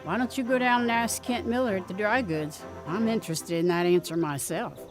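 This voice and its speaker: A woman's voice: medium pitch, fairly quick, music in the background, natural voice. A woman voicing an older farm mother who speaks in a dry, blunt way.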